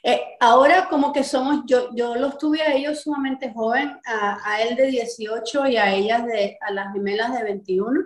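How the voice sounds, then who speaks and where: A woman's voice: fairly high pitch, fairly even intonation, normal speed, few pauses. A middle-aged Cuban American woman from Miami answering interview questions conversationally over a video call in an echoey room. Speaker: interview guest, artist and activist. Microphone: video call, sitting back from the camera.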